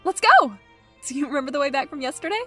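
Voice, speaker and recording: A woman's voice: high voice, expressive, fast, bright, forceful tone, faint music behind. A woman voicing a friendly, eager young animal in a cartoon, perky and chatty. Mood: bubbly, eager.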